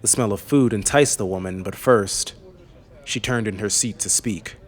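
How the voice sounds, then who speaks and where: A man narrating a scripted fantasy audiobook in a measured, serious way. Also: on the low side, slightly rough.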